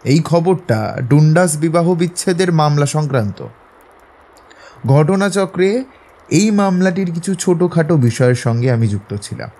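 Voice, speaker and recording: Mellow, higher-voiced gentleman detective. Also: lively intonation. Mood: amused, triumphant. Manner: measured, eloquent, lecturing. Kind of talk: Bengali audio drama acting.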